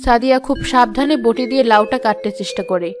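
A woman's voice: fairly high pitch, fast talker, music in the background. A woman reading scripted third-person narration for a cartoon story.

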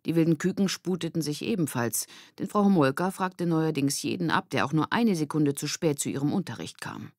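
A woman's voice: deep voice, expressive, fairly quick, few pauses, darkish tone, dry recording, studio quality. A woman with a standard German accent reads a scripted children's story as an audiobook narrator.

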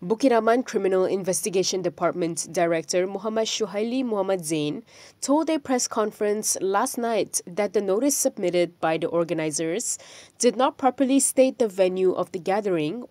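A woman presenting the news in a scripted voice-over, with a measured, even delivery. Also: medium pitch, no echo. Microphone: studio microphone.

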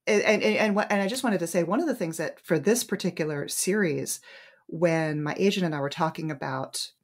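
A woman's voice: fairly low pitch, fairly quick. A woman author in a casual interview, talking thoughtfully and restarting her phrases as she goes. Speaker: romance author, guest. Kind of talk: conversational interview.

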